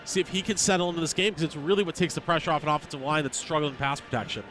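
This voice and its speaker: A man's voice: a little high, rapid-fire, fairly bright tone, soft background music. A man giving analytical, assertive color commentary on a live American football radio broadcast. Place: stadium game broadcast. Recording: live outdoor broadcast with background noise.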